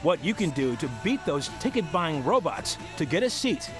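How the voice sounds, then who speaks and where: Male news promo voice, slightly high register, scripted headlines over clear music. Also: very animated, normal speed. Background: prominent music bed.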